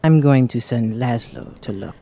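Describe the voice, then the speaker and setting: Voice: mid-range voice, moderate pace, warm tone. A player with an androgynous voice, casually roleplaying in a tabletop fantasy game.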